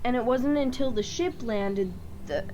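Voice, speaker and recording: Earnest scared kid, voiced by a woman, high voice, radio drama, faint ambience behind. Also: unhurried, darkish tone, dull audio, slight background noise, close to an everyday voice. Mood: earnest, uneasy. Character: earnest, frightened young boy who believes comic stories. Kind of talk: radio play acting.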